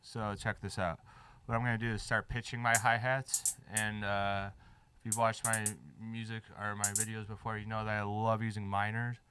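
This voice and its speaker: A man's voice: fairly low pitch, level tone, moderate pace, a little hiss or hum. A man making beats in a studio, chatting casually and explaining music theory step by step. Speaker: music producer. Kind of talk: casual studio chat while making a beat. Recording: loud music playing behind.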